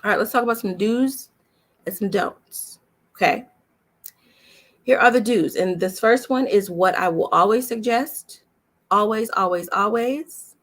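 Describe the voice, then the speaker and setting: A woman's voice: mid-range voice, fairly bright tone. A woman giving an informal lesson as a voice-over coach, speaking emphatically and instructively, repeating key points and often checking in with her listeners. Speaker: voice-over coach and demo producer. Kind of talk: informal teaching talk, coffee chat webinar.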